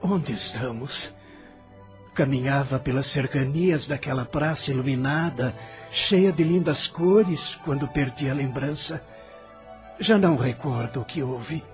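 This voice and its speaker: A man's voice: mid-range voice, unhurried, faint music behind, close to an everyday voice. A man playing an agitated, ailing immigrant in an audio drama, theatrical and impassioned, embittered by hardship. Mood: confused, wistful. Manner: theatrical, impassioned, swinging from faint to outcry.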